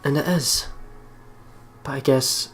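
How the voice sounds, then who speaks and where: A man's voice: medium pitch, fairly even intonation, slow. A man hosting a let's play, reading a visual novel aloud with casual commentary and sometimes stumbling over the words. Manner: giggly, stumbles while reading. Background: faint game music.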